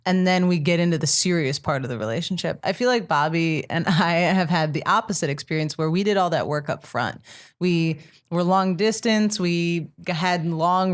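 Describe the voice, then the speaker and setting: A woman with a notably low voice for a woman speaks in relaxed podcast conversation. She is thoughtful and reflective and pauses now and then to find her words. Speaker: guest, psychology PhD student and comic.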